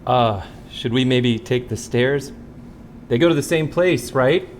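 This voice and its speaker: An actor playing a skeptical, uneasy paranormal investigator in an audio drama, talking in a casual, hesitant, wry way. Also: male voice, medium pitch, measured pace, slight background noise, lightly acted. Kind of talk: scripted podcast drama acting.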